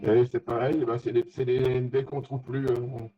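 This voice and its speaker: A man in a casual technical chat as an amateur radio operator, speaking haltingly and often searching for words. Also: mid-range voice, flat delivery. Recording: dull, lo-fi radio contact audio.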